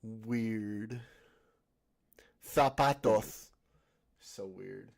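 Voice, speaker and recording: A man's voice: fairly low pitch, extremely slow, creaky. A man from Argentina with an Argentine accent, hosting a stream, teasing and joking in casual chat. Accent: Argentine Spanish. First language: Spanish. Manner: playful, teasing, switches into Spanish. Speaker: streamer host.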